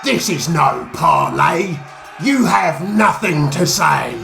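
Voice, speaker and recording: A man voicing a band of brutish male orc warriors who shout and mock. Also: fairly high pitch, animated, takes it slowly, vocal fry, reverberant, faint music behind, some chatter behind, full character voice. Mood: threatening. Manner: loud mocking shouts.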